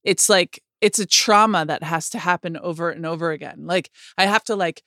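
A woman with a low voice telling a personal story in an earnest, halting way, often restarting her sentences. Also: pushed and bright, no echo, studio quality. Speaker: podcast co-host.

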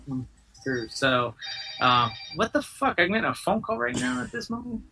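Bright high-voiced man, rambling chat. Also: very animated, normal speed, vocal fry, distant mic, a little hiss or hum, rough recording. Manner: rambling, lots of restarts and swearing. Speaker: podcast guest. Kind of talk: casual chat.

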